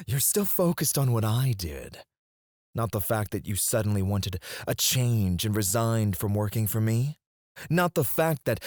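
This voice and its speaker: A man's voice: low voice, a little mellow, no room sound, studio quality, heavily acted. A man playing an obsessive, possessive CEO in an ASMR roleplay, speaking in a smug, menacing and condescending way. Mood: incredulous, wounded. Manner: smooth-talking, condescending, drawn-out deliberate phrasing.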